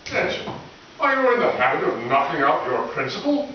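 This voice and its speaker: A man's voice: a little high, very animated, normal speed. A man playing a stern, indignant head of a school board in a comic live radio play. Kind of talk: comic old-time radio play acting. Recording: echoey hall from far off, dull, hissy.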